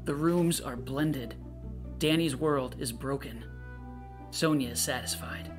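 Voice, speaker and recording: A woman reading stage directions as a scripted narrator in a plain, measured way. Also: rumbling low, over music. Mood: neutral, measured.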